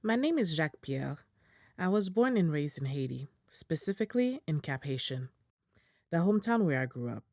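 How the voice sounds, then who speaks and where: A woman reading a prepared statement aloud in English in a measured, formal way, as an interpreter on a video call. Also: sits low, big pitch swings, darkish tone, dry recording, studio quality.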